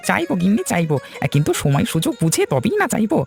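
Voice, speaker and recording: A man voicing a bossy, scheming middle-aged village farmer in a cartoon, talking in colloquial family speech with drawn-out interjections. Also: high-pitched, huge pitch swings, gravelly, music in the background, heavily acted. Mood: wheedling. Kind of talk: cartoon dialogue.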